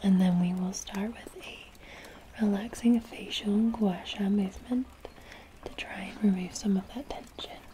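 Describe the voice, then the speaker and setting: A young woman from Scotland whispering softly and slowly as a spa masseuse in an ASMR roleplay, close to the mic. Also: mid-range voice, steady tone, noisy background. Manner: soft slow whisper, soothing and unhurried. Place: indoors, in front of a woodland spa backdrop. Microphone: close ASMR mic, leaning toward camera.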